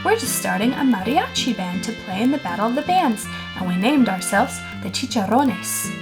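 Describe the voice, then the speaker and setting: A woman voicing eager, earnest schoolchildren with an excitable manner in a storybook. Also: on the high side, moderate pace, low-quality recording. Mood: reassuring. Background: music with plucked guitar.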